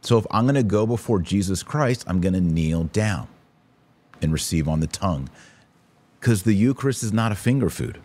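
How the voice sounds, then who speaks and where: A man's voice: low voice, expressive, normal speed, darkish tone. A man hosting a podcast, giving casual, opinionated religious commentary, speaking to his audience in an informal, emphatic, direct way. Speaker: podcast host; online course teacher.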